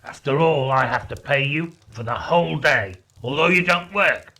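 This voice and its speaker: Man voicing a loud, grating old miser. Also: on the high side, normal speed. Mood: grudging, sour. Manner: stern, indignant, sarcastic. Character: stingy, grumpy, heartless old miser. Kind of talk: storybook character reading.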